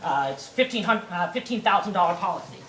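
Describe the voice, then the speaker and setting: A woman's voice: lowish voice, expressive, fast talker, fairly bright tone, reverberant, recorded from a distance, slight background noise, voice pushed down, rough recording. A woman voicing a desperate young man who stammers and begs for help. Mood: pleading. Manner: stammering, repeats words.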